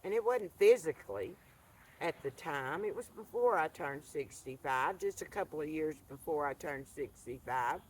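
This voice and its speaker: A woman, talking earnestly to a camera by an outdoor fire pit, soft-spoken and halting. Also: lowish voice, slight background noise, low-quality recording. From rural Appalachian North Carolina. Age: 70s. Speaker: vlogger. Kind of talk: reflective monologue to camera.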